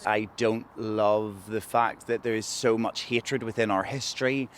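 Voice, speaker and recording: A man's voice: on the low side, fairly even intonation, measured pace, a little hiss or hum. A man with a Northern Irish accent, speaking reflectively and candidly in a documentary interview. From Northern Ireland.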